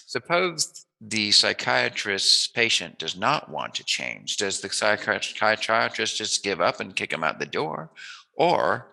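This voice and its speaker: A man with a fairly low, creaky voice and a very sharp, forceful edge hosts a casual chat. He muses and rambles playfully as he talks with his guest. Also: rough recording. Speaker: interview host.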